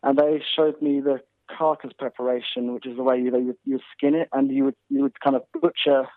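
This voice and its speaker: A man's voice: mid-range voice, little pitch movement, brisk, no room sound. A man from North Wales, a guest hunter chatting casually in an interview, rambling with lots of restarts. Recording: tinny phone line.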